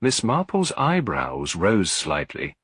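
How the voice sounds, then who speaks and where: A man's voice: fairly low pitch, huge pitch swings, slow, fairly bright tone, husky, no room sound, clean studio sound. A man reading a mystery story aloud as a scripted audiobook narration.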